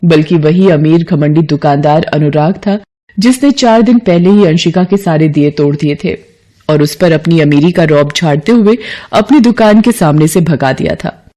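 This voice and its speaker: A woman's voice: low-pitched, normal speed, dark tone. A woman narrating a scripted moral story as a storyteller.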